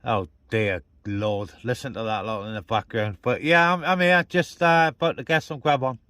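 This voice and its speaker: A man with a bright, punchy voice in a middling register talks casually to his viewers like a vlogger. He is outdoors by his car, and the delivery is informal and forward. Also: medium pitch, fairly even intonation, normal speed, no room sound. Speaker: vlogger out with CB radio. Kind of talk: casual vlog chat. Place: outdoors beside a parked car.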